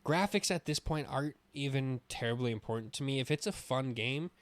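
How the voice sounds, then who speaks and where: A man's voice: mid-range voice, brisk, dry recording. Man chatting on a dry-sounding podcast. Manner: opinionated, swears casually. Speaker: podcast co-host. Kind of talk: casual gaming podcast chat.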